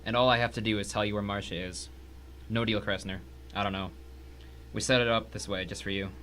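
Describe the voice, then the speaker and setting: A man's voice: fairly low pitch, steady tone, fairly quick, a bit bright, slight background noise, close to an everyday voice. A man acting a guarded, stubborn ex-convict tennis pro in a story drama, terse and defiant. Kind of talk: dramatic audio reading of a short story.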